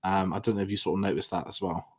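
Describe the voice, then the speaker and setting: A man's voice: deep voice, flat delivery, rapid-fire. A young man co-hosting a casual chat, chatty and upbeat with rambling asides. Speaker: co-host.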